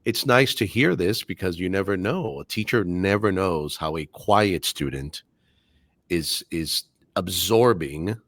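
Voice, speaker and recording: A man's voice: sits low, measured pace, a bit bright, no room sound. A middle-aged man, a native Spanish speaker and English teacher, speaking articulately with careful diction in a casual podcast interview.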